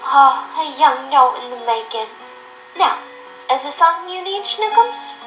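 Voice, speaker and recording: A woman voicing a kindly, elderly pony lady in a cartoon-style audio drama, gentle and doting. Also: sits high, expressive, normal speed, poor audio. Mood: tender, comforting. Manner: doting, gentle, grandmotherly endearments. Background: music.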